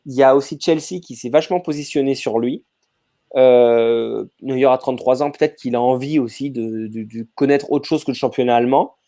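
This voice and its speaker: A man's voice: medium pitch, fairly even intonation, moderate pace, dark tone. A man talking casually on a podcast, thinking aloud with lots of fillers and restarts. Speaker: podcast panelist.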